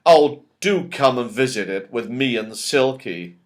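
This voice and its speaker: A man's voice: medium pitch, expressive, unhurried, natural voice. A man doing jolly old Moonface. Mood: enthusiastic. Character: cheerful old moon-faced magical man.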